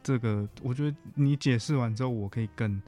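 Halting Taiwanese Mandarin man over faint music. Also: medium pitch, warm tone. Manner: halting, self-correcting, frequent humming backchannels. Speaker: guest counseling psychologist. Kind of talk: casual conversational interview.

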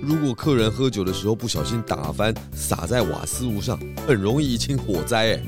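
A man voicing a sensible, food-loving pig detective in a children's radio drama, with a measured manner. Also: fairly low pitch, big pitch swings, over music. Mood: observant, concerned.

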